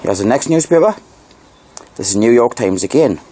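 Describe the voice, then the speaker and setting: A man's voice: mid-range voice, lively intonation, normal speed, mellow. A man giving informal video commentary and reading passages aloud, emphatically repeating key phrases. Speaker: narrator presenting old newspaper articles.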